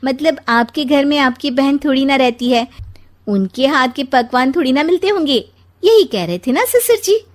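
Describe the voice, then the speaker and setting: Woman doing perky young daughter-in-law, cheeky and cocky, high voice, cartoon comedy. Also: expressive, moderate pace. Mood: teasing. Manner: perky, taunting delivery. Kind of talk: comic cartoon story acting.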